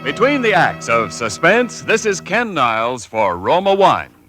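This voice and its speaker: A man's voice: on the high side, sing-song, measured pace, over loud music. A man announcing a 1940s radio commercial in a polished, persuasive way.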